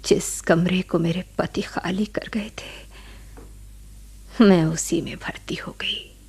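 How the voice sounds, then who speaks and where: Sad widow in a radio play, husky breathy voice, kinda slow, mellow, telling her story. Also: fairly low pitch, fairly even intonation, in a whisper, full character voice. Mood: sorrowful. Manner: slow, sorrowful, breathy delivery. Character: grieving widowed woman recounting her tragic past. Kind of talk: dramatic radio play narration.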